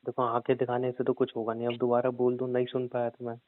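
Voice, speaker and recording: A man's voice: mid-range voice, monotone, quick pace, no room sound, lightly acted. A man playing an evasive, bossy husband, cajoling and repeating himself in a naturalistic audio drama argument. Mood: irritated. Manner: cajoling, repetitive, stubborn. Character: evasive, bossy husband stubbornly putting off his wife. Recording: tinny, dry phone-call sound.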